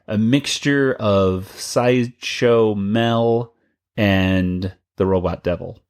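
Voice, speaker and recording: A man's voice: lowish voice, slow, a little mellow, smooth. A man co-hosting a podcast about a cartoon, talking casually and rambling a bit with restarts and laughs. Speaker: podcast co-host. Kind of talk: casual chat.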